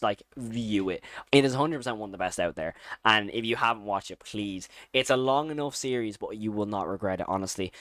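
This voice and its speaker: A man's voice: medium pitch, a bit bright, no echo. A man giving casual, opinionated commentary as a video host, talking in an informal, slangy and enthusiastic way. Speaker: YouTube host ranking horror series.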